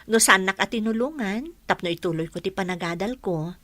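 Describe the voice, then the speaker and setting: Bright Ilocano young mother. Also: mid-range voice, a little fast, dry recording. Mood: heartfelt. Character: Sunshine, grateful young mother and new graduate. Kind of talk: Ilocano radio drama acting. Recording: dry studio with music bed.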